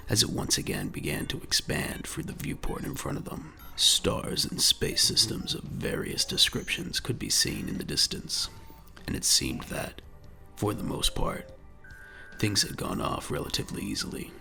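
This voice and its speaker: A man's voice: deep voice, wildly swooping pitch, normal speed, vocal fry, bright, forceful tone. A man reading dramatic scripted narration for a science fiction audio drama. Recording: music under the voice.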